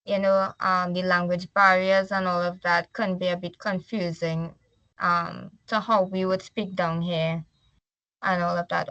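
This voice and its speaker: A woman's voice: lowish voice, fairly bright tone, no echo, highs cut off. A woman from Trinidad with a Trinidadian accent, giving a class slide presentation. She reads from slides, then explains in loose everyday talk.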